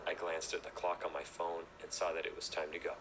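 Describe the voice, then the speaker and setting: A man's voice: sits low, monotone, fast talker. A man reading a scripted first-person horror story as narrator. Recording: noisy recording.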